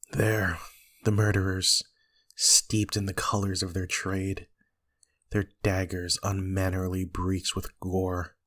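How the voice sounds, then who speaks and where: A man playing a brooding, guilty usurper who declaims weightily in an amateur Shakespeare reading. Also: fairly low pitch. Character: guilty usurper Macbeth feigning grief, brooding.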